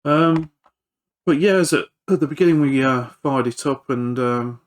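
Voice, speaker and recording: A man's voice: mid-range voice, normal speed. A man giving casual, hands-on repair commentary as he works, hesitant and thinking aloud. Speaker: electronics repairer presenting a video.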